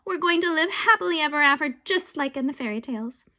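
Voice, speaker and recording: A woman's voice: sits high, expressive, quick pace, sharp tone, voice pushed up. A woman voicing a smug, bossy young girl ghost in a storybook reading. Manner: smug, teasing.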